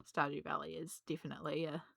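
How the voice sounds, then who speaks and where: A woman, slightly hoarse from a cold, chatting casually and rambling with many ums while she colours. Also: low-pitched, completely flat delivery, quick pace, a bit bright, dry recording, clean studio sound. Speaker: colouring vlogger.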